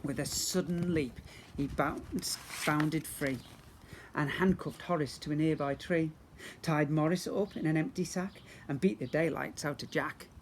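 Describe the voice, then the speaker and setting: A man's voice: fairly high pitch, a little slow, a little hiss or hum. A man reading a rhyming storybook aloud to young children like a teacher, theatrically and with asides to his listeners.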